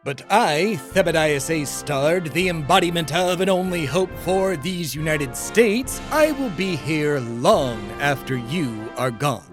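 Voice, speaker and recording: A man's voice: a little high, animated, a little slow, few pauses, over music. Pompous, grandiose male comedy host. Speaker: podcast host. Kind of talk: scripted comedy interview.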